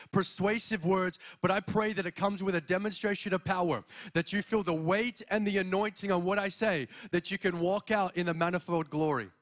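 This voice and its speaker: A young man from Australia with an Australian accent, preaching an energetic sermon as a guest speaker from a church stage into a handheld mic. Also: high voice. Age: young adult. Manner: energetic, exhorting, walks the stage.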